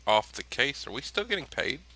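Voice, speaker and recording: A man's voice: mid-range voice, big pitch swings, a little fast, bright, forceful tone, low-quality recording. A man talking casually as a player in a tabletop role-playing game.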